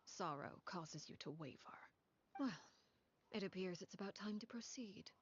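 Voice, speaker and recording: An actress playing a cold, commanding head of a household in a video game, with a measured, formal and calmly menacing way of talking. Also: female voice, mid-range voice, slightly rough, dull audio. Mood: cold, composed. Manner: measured, formal, coolly authoritative.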